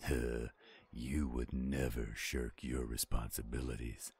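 A man's voice: very deep voice, level tone, takes it slowly, a little mellow, slightly rough, exaggerated voice. A man playing a pushy, loyal friend in a roleplay audio drama, steadily teasing and insisting. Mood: easygoing.

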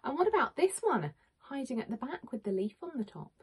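A woman, a teacher reading a picture book to young children in an expressive, questioning manner. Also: sits high, a little fast. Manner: expressive, questioning, clearly addressing small children. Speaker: primary school teacher. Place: small home room with bookshelves, indoors.

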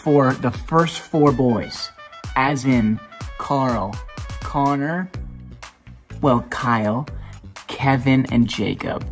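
A man's voice: mid-range voice, extremely slow, pauses often, faint music behind. A young man talking in a scheming, conspiratorial way over a video call.

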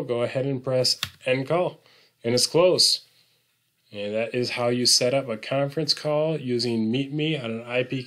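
Man giving an informal software tutorial. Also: mid-range voice. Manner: informal, step-by-step explaining. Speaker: tutorial presenter. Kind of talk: screen-recorded software tutorial, unscripted explanation.

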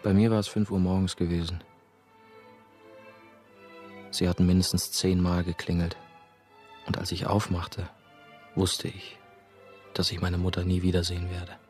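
An actor playing a brooding, haunted former novice, confiding his story in measured, terse radio drama narration. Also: low-pitched, level tone, a little mellow, soft background music, close to an everyday voice. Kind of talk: first-person radio drama monologue.

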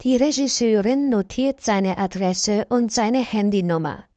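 A woman reading a simple story aloud slowly and clearly for language learners. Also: mid-range voice, warm tone, dry recording. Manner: slow, clear, evenly paced reading. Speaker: narrator.

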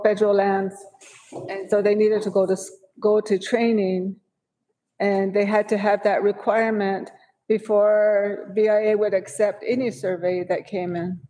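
Woman with a mellow voice in a reverberant hall. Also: medium pitch. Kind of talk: council meeting discussion. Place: large council chamber with flags.

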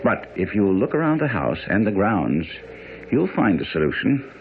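A dull, slightly hissy radio recording of a brisk master detective in a mystery play. His low voice ranges widely as he lectures with precise confidence. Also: sing-song. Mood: confident, excited. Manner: didactic, precise, commanding. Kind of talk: old-time radio drama acting. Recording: muffled, noisy radio broadcast.

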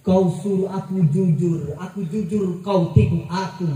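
A man's voice: sits high, steady tone, unhurried. A man reciting a protest poem with dramatic, declamatory delivery at a village stage performance. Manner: declamatory, rising to shouts. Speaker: poetry reader. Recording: echoey stage PA from far off.

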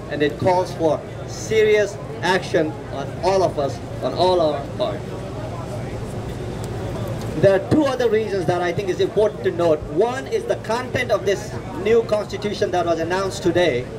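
A middle-aged man with a Nepali accent giving an earnest political speech, emphatic and deliberate, repeating words for stress. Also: high voice, lively intonation, crowd noise, poor audio. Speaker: activist speaker at a rally. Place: outdoor city plaza at night. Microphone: podium microphone. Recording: outdoor street noise with passing traffic. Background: street traffic and urban ambience.

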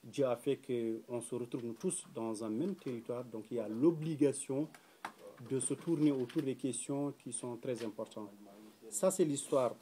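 A young man from Mauritania speaks at a round table, his voice mellow and dark in tone. He talks earnestly and carefully, with frequent hesitations and fillers. He is recorded in a small indoor room by a camera set a few feet away. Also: medium pitch. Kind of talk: public talk at a round table.

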